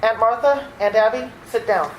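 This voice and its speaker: A woman plays a flustered, exasperated nephew in a radio play, halting and repeating himself. The recording is echoey with faint noise. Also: fairly high pitch, dull audio, close to an everyday voice. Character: flustered, exasperated nephew, a harried theater critic. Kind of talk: old-time radio theater acting.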